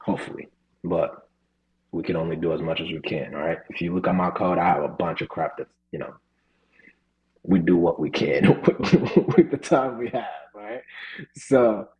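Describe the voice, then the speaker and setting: A man's voice: deep voice, big pitch swings, normal speed, muffled recording. A man teaching a live online coding class over a video call, talking casually with lots of "right?" and "you know". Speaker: coding instructor.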